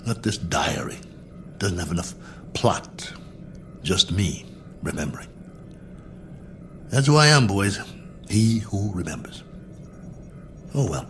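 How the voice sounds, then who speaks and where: An actor playing a lonely, sardonic astronaut colonel musing to himself in a radio drama log. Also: male voice, deep voice, animated, slow pace, pauses often, fairly bright tone, faint music behind, exaggerated voice. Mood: wistful. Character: lonely, wry astronaut colonel alone on a long spaceflight.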